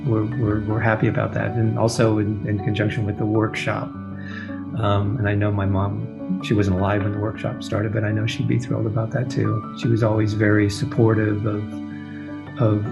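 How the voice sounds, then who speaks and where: A man speaking haltingly in an interview-style video, often repeating words, with music playing behind him. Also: on the low side, completely flat delivery, gravelly. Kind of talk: interview remarks about family legacy. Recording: dull video audio under loud guitar music. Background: loud guitar music.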